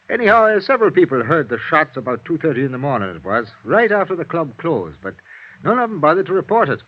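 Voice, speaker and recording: A world-weary male homicide cop from an old radio play, folksy and grumbling. His voice sits a little high and swings about in pitch. The sound is dulled, vintage radio. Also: big pitch swings, fairly quick. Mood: matter-of-fact. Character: chatty, world-weary male police homicide lieutenant, a father. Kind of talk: 1950s radio drama acting, conversational. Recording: dull, noisy vintage radio recording.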